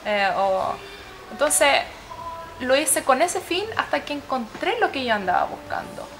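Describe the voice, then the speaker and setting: A woman's voice: high voice, big pitch swings, a little slow, music in the background, rough recording. A woman with a Chilean accent telling her personal story as an interviewee, reflective and often searching for words. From Sweden and Chile.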